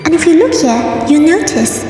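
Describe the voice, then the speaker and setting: Cartoon sea-pony princess played by a woman: young, high, chirpy, evasively cheerful, in heavy echo. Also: big pitch swings, normal speed, mellow. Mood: cheerful, hostly. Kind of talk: cartoon comic dub. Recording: echoey hall, distant, music behind.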